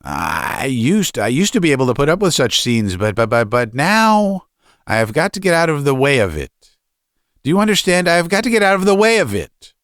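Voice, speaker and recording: A man plays a young student speaking to his father in a storybook tale. He is deferential and nervous, stumbling over repeated words in a hesitant, lively-pitched voice. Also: a little fast, no echo, clean studio sound. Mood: agitated, pleading. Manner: hesitant, stammering.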